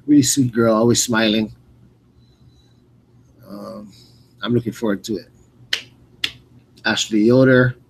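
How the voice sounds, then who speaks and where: A man hosting fight commentary in a casual, rambling, unscripted way, often halting and repeating words. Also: mid-range voice, darkish tone, a little hiss or hum, poor audio. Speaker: radio play-by-play host.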